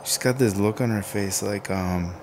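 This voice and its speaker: An actor playing a skittish, easily flustered young man in a radio drama, speaking haltingly with a creaky voice. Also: deep voice, noisy background. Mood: flustered, hesitant. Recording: music under the dialogue.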